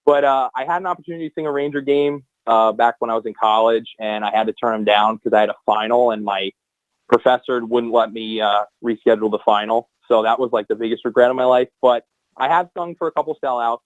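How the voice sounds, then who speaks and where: A man's voice: mid-range voice, fairly even intonation, quick pace, dry recording, muffled recording. A young man, a guest anthem singer, chatting casually on a podcast in long rambling answers full of fillers and restarts.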